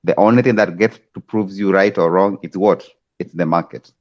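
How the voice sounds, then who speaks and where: A man's voice: low-pitched, normal speed, lo-fi sound. A man from Kenya, a trading coach, steadily explaining charts in a screen-share tutorial over a video call.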